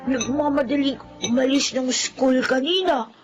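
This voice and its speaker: A woman's voice: sits high, unhurried, dull audio, music in the background. A woman with a Filipino accent voicing a polite, inquisitive little schoolchild in a radio drama. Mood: curious. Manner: polite, childlike.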